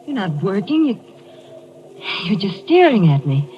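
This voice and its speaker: A woman playing a polite artist's model in an old-time radio drama, with an expressive, mannered stage delivery. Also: mid-range voice, a little slow, a little hiss or hum. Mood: uneasy. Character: polite, uneasy woman posing for her former lover. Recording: muffled old radio recording.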